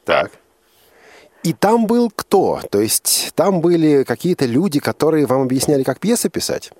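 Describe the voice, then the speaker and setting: A man's voice: fairly high pitch, big pitch swings, moderate pace, darkish tone, dry recording. A man hosting a radio show from a studio, asking probing questions in a conversational style and rephrasing as he goes. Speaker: radio host and interviewer.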